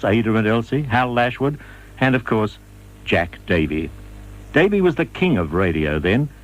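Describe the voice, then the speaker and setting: Low-voiced male narrator. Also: low-quality recording. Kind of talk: scripted documentary narration.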